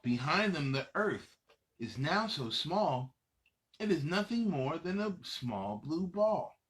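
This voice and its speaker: Male kids' storyteller, higher-pitched and very animated, playful and dramatic delivery. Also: low-quality recording. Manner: playful, dramatic, pauses to ask young listeners questions. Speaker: children's storybook reader and host. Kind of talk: animated read-aloud storytelling for children.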